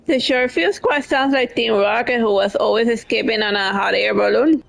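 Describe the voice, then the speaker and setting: A woman's voice: a little high, normal speed, creaky. A woman streamer giving casual, rambling live commentary on a game she is playing.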